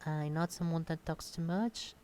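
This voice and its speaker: A shy young woman with a Brazilian Portuguese accent talks off the cuff at her home computer. Her voice sits slightly low and soft-toned, and her speech is halting, full of pauses and filler sounds. The recording is close-miked at a desk. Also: steady tone, slight background noise. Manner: hesitant, many pauses and fillers. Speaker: content creator. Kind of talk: unscripted casual monologue. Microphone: close desk microphone.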